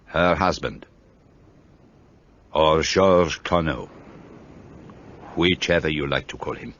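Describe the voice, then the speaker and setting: A man's voice: a bass voice, takes it slowly, a bit bright, heavily acted. Man doing a fussy old Belgian detective. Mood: explaining. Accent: Belgian French. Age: older. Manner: precise, theatrical, lecturing. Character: fussy elderly Belgian male detective, self-assured. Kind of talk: radio play acting. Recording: dull lo-fi recording, faint hiss.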